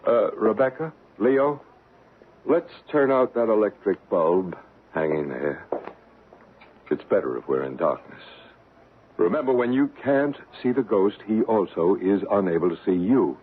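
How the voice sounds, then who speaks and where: An actor playing a solemn, deliberate male paranormal investigator who narrates and acts in an old radio mystery drama. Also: fairly low pitch, animated. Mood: solemn, commanding. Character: solemn male paranormal investigator and ghost hunter named Bryce. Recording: noisy, phone-like old radio broadcast recording.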